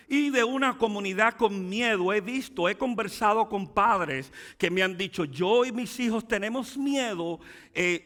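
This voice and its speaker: Pastor guy preaching, switches to english sometimes, bright tense voice, big hall echo. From Cuba. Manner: preaching with rising emphatic peaks and dropping low asides. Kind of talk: bilingual sermon.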